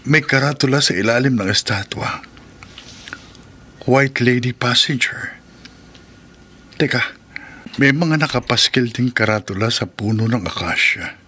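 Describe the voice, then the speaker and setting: A hesitant, good-natured married cab driver in a horror radio play. His voice is mid-pitched, bright and a little husky as he thinks aloud. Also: lively intonation, unhurried, long pauses, heavily acted. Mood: puzzled. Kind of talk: horror radio drama acting.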